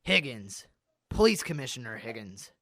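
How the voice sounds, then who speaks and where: A man's voice: medium pitch, very animated, normal speed, bright, forceful tone, no room sound, studio quality. A man playing a suspicious, hard-boiled gangster boss in a radio crime drama, curt and wary. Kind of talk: old-time radio crime drama acting.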